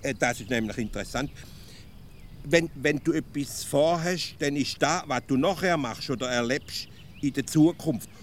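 An actor playing a literal-minded, nitpicking provincial everyday philosopher in a comic radio play, speaking German with a Swiss accent. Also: male voice, on the high side, slight background noise, lightly acted. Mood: earnest, musing. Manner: deliberate, nitpicking, earnest.

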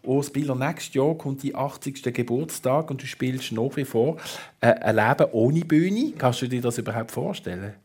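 A man's voice: medium pitch, moderate pace, darkish tone, husky. A man with a Swiss German accent hosting an interview in a conversational radio style. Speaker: radio host.

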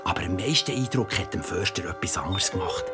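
A man's voice: sits low, very animated, fast talker. A man with a Swiss German accent playing a father who tells his child a creepy bedtime story, theatrical and suspenseful. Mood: mysterious, narrating. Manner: theatrical, suspenseful, sometimes whispering. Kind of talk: audio drama storytelling. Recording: music behind the voice. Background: music.

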